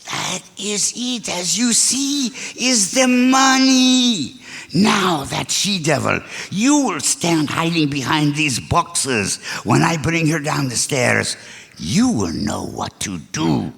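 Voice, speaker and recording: A man playing a scheming, excitable foreign criminal mastermind in a radio detective play, speaking stilted, formal English. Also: on the high side, takes it slowly, creaky, slightly rough, exaggerated voice. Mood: urgent, nervous. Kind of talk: old-time radio detective play acting. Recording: echoey hall stage recording.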